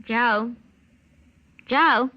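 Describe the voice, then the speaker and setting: A talkative, insistent housewife with a high voice. She nags in a precise, vintage radio sketch manner. The sound is dull and lo-fi. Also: very slow. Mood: chatty, insistent. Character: chatty, insistent housewife talking at her husband. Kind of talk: 1950s radio commercial dialogue acting. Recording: dull old radio recording.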